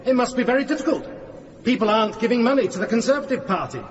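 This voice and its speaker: Smug high-pitched Prime Minister. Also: male voice, racing. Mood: mocking, smug. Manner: sarcastic, theatrical. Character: smug, mocking male Prime Minister scoring debating points. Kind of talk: radio drama parliamentary speech. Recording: reverberant hall, dull sound, loud crowd.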